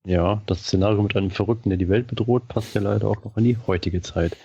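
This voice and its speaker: A man's voice: lowish voice, steady tone, fast, mellow, breathy edge. A man co-hosting a casual podcast, on a dull, muffled recording. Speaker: podcast co-host. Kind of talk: casual retro-gaming podcast talk.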